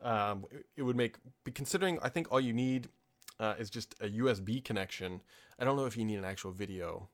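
A man's voice: fairly low pitch, fairly quick. A man in his thirties hosting a tech podcast from his home office, chatting casually and with strong opinions, full of ums and asides. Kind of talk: casual solo podcast chat.

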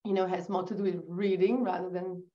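A woman from Brazil with a Brazilian accent, a guest telling her story in a relaxed podcast interview, laughing easily and restarting her sentences. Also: on the low side, brisk, muffled recording. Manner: chatty, laughs often, restarts. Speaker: guest, agency founder.

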